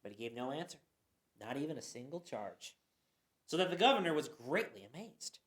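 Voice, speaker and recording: A woman giving a dramatic Bible reading, expressive, with occasional stumbles. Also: extremely low pitch, a little fast. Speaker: scripture reader. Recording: roomy echo.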